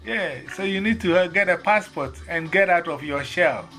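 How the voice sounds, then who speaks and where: A man's voice: high voice, moderate pace, sharp tone, soft background music. A man from Ghana with a Ghanaian accent, telling stories in casual chat and acting out both sides of the conversations.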